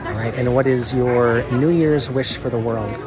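A man hosting a travel vlog, asking strangers quick questions in a friendly, brisk way. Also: medium pitch, steady tone, music in the background. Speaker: vlog host interviewing travelers. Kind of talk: casual vlog narration and street interviews.

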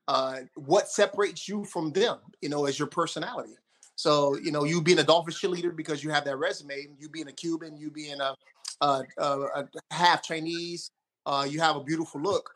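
A man's voice: on the high side, steady tone, a little fast, a bit bright. A man co-hosting a casual chat, giving encouraging advice in a mentoring way.

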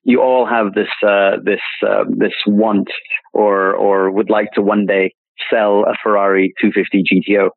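A man's voice: fairly low pitch, little pitch movement, normal speed, vocal fry, no echo. A man being interviewed as a classic car dealer, rambling with many restarts and repeated words. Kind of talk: casual interview chat. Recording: tinny phone-line audio, dry.

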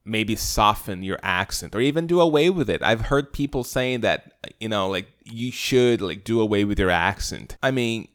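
Brazilian guy hosting his podcast solo, low-ish smooth voice, lots of ums and restarts. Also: moderate pace, hardly any pauses. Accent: Brazilian Portuguese. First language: Portuguese. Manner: relaxed, chatty, many fillers and restarts. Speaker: podcast host.

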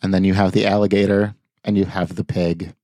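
Casual male podcast co-host, lowish voice, playful and animated, dry studio sound. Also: fairly quick, dry recording. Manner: animated, laughs often, acts out silly voices. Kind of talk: casual comedic chat.

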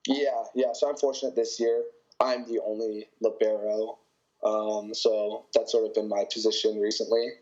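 Brief: young Canadian male athlete, easygoing, filler-heavy answers, slightly low voice, muffled lo-fi recording. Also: normal speed. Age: young adult. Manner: relaxed, many fillers and hedges. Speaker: college volleyball player. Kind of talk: interview answers.

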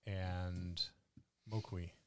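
A man narrating a tabletop fantasy game as game master, describing the action vividly and haltingly. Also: low voice, little pitch movement, dark tone, slight background noise. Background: background music.

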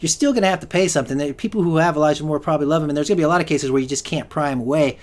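A man's voice: on the high side, very fast. A man hosting a solo fantasy football podcast, rambling with lots of restarts and fillers, and he is losing his voice. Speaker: podcast host.

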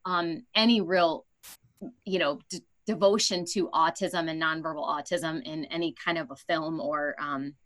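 A woman's voice: low-pitched, fairly even intonation, measured pace, a bit bright. A woman podcast host talking conversationally as she interviews guests.

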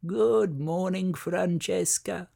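A narrator voicing Barney, a gentle, kind sheep friend, speaking softly and quietly. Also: mid-range voice, big pitch swings, slow pace, creaky, voice pushed up, exaggerated voice. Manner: soft, kindly and quiet.

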